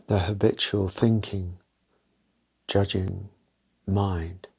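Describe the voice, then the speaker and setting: A man leading a guided meditation, talking gently and unscripted in short, halting phrases with pauses between them. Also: low-pitched, gravelly, husky.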